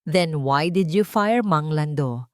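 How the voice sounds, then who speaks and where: A woman's voice: deep voice, expressive, no echo. Woman acting a cold, commanding executive, close and dry. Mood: cold accusation. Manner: cold, stern, measured.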